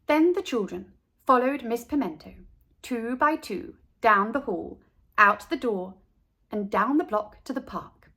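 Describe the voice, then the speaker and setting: A woman reading a children's picture book aloud as a storyteller, in a deliberate, expressive manner. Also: on the high side, slightly rough. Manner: slow, deliberate, animated. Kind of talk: expressive read-aloud narration for kids.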